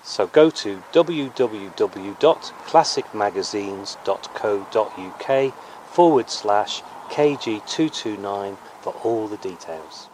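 A man's voice: fairly low pitch, lively intonation, slow, darkish tone, slight background noise, low-quality recording. A man reading a scripted promotional voice-over as an announcer. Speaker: advert announcer.

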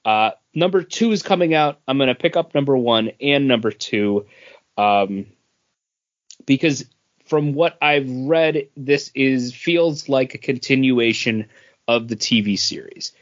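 A man's voice: medium pitch, unhurried, no echo, dull audio. A man co-hosting a podcast, talking casually about comic books. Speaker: podcast co-host.

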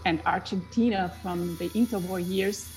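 A woman's voice: on the low side, steady tone, normal speed, darkish tone. A woman from Austria with an Austrian accent, a native German speaker, explaining her research as a historian in a reflective, searching way.

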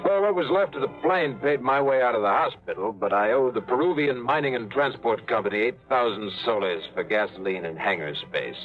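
An actor playing a tough, hard-drinking pilot turned tour guide, narrating and talking in clipped, dry, hard-boiled lines in a 1950s radio drama. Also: male voice, medium pitch, expressive, brisk, hardly any pauses, lightly acted. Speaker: narrator and lead. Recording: lo-fi old radio broadcast with music.

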